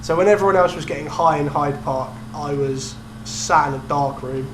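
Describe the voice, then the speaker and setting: Young guy podcasting about games, casual, slightly high voice, roomy echo. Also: moderate pace. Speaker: podcast co-host. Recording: roomy echo, steady background noise and hum.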